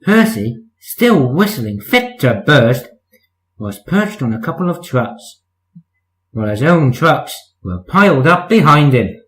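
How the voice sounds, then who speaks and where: Animated man reading a children's story. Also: mid-range voice, takes it slowly, low-quality recording. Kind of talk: scripted children's story narration.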